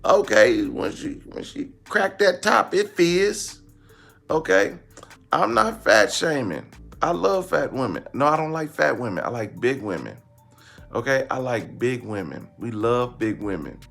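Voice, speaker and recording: A man's voice: medium pitch, big pitch swings, a little slow, gravelly, a bit bright, faint music behind. An American man giving casual comedic commentary, slangy and blunt, repeating phrases for emphasis. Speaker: online commentary host.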